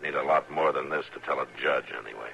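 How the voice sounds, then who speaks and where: A man playing a calm, shrewd, commanding Western marshal with terse, measured, dry delivery in an old radio drama. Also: rumbling low, vocal fry, heavily acted. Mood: grim, measured. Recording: noisy, dull old radio recording.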